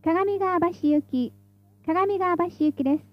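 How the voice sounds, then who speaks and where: A woman's voice: very high voice, slow, dry recording, lo-fi sound, soft background music. A woman reading scripted tram announcements in a formal, polite, carefully enunciated way, as the stop announcer.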